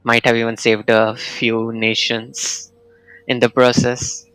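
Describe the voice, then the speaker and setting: A man giving casual, halting gameplay commentary as a streamer, musing in broken phrases. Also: mid-range voice, monotone, fairly bright tone, clean tone, dry recording, faint music behind, poor audio. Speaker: gaming streamer.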